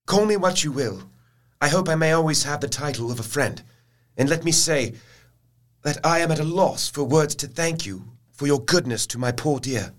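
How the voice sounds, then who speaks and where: An actor playing a bereaved young English nobleman who speaks formally and emotionally, in a halting, courteous way. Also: on the high side, animated, natural voice. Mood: subdued, grateful. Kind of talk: period audio drama acting.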